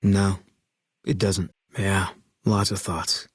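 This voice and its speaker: An actor playing a terse, bitter ex-army sniper in a video game, speaking in clipped, blunt lines. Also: male voice, deep voice, level tone, slow, breathy edge, no echo, heavily acted. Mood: laconic. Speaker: companion character in a video game. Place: recording studio. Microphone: close studio microphone.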